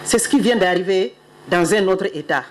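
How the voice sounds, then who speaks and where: A middle-aged woman speaking on behalf of an official, giving a solemn, measured ceremonial address. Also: medium pitch, animated, a little hiss or hum. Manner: measured, solemn, stately delivery.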